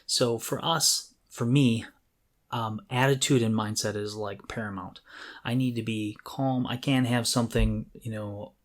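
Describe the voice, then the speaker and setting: A middle-aged man co-hosting a podcast, talking casually and reflectively in an earnest, halting way as he thinks aloud. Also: on the low side, fairly even intonation. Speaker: podcast co-host. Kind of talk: casual, reflective talk about creativity.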